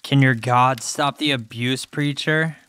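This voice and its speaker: A man's voice: mid-range voice, fairly even intonation, unhurried, bright, forceful tone, clear, resonant tone, no room sound. A man playing a skeptical, blunt small-town teenage boy with a folksy, challenging manner in an audiobook drama.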